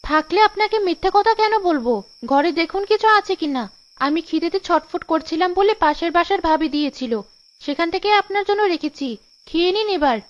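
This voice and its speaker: A woman's voice: very high-pitched, a little fast. A woman voicing a sensible, long-suffering village wife in a cartoon, plaintive yet patient. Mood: patient, firm. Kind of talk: cartoon dialogue. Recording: dull lo-fi audio with music behind.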